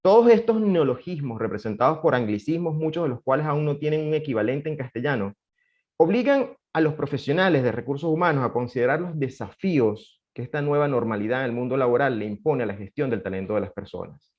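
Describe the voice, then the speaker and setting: Formal male moderator, lively pitch. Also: muffled recording. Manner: formal, measured delivery. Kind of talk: reading a prepared introduction.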